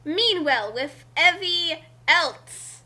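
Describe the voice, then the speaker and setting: Female story reader, high-pitched and unhurried, playful dramatic delivery with chatty asides. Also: lively intonation, bright, forceful tone. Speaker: reader and co-host of a group story reading. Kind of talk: dramatic read-aloud of a badly written fan story, with casual asides.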